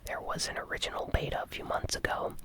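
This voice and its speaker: Whispered ASMR gaming news host. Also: fast. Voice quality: breathy, unvoiced whisper.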